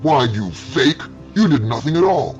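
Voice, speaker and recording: Hot-tempered male artist, bitter and exasperated, loud, voiced by a man; storybook. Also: medium pitch, sing-song, normal speed, pitched above the performer's own voice, lightly acted. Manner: shouting, exasperated. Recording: dull lo-fi with faint music.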